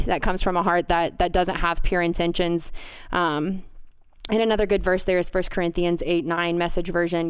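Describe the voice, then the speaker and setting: A woman's voice: on the low side, smooth, dry recording. A young woman co-hosting a casual podcast, laughing easily and talking earnestly through her answers. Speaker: podcast co-host.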